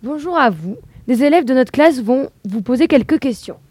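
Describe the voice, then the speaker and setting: A woman's voice: high-pitched, animated, fairly quick, darkish tone, breathy edge, no room sound. A woman giving a prepared introduction as presenter.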